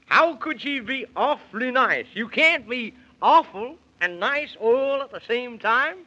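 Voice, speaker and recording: An actor playing a jolly, fussy fantasy guide with folksy exclamations and singsong rhymes in a 1930s children's radio serial. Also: male voice, very high-pitched, lively intonation, measured pace, bright, forceful tone, full character voice. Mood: fussy, bustling. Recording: muffled, noisy 1930s radio recording.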